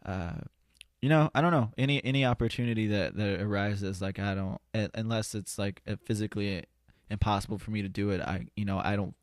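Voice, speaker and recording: Low-voiced man, hesitant and casual. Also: fairly quick, no echo, clean studio sound. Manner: hesitant, rambling, repeats "you know". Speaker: podcast guest, spoken word poet. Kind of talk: casual conversational interview answers. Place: indoor studio.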